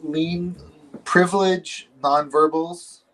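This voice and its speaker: A man's voice: fairly high pitch, fairly even intonation, takes it slowly, a bit bright, low-quality recording. A man in his forties, a counselor, explaining ideas in a casual conversation with a reflective, hesitant manner. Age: 40s.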